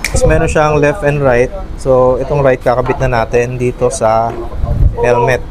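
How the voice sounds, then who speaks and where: A man's voice: medium pitch, level tone, moderate pace, a crowd in the background. A man with a Filipino accent giving a casual unboxing and demo talk to viewers as a vlogger. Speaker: vlogger, product reviewer.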